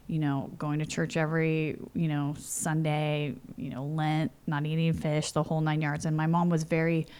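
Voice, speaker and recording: A woman's voice: low-pitched, little pitch movement, normal speed, hardly any pauses, darkish tone, smooth. A woman in her forties from California, telling personal stories in a chatty interview full of "you know" and "like". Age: 40s. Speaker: interview guest. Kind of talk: casual storytelling. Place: home.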